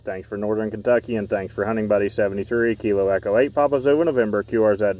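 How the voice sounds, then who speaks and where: A man in his forties working a ham radio from a park, reading call signs and signal reports into a handheld mic in quick, repetitive bursts. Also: on the low side, steady tone, a little mellow, distant mic, low-quality recording. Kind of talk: rapid radio contact exchange. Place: outdoors in a park. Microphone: handheld radio microphone.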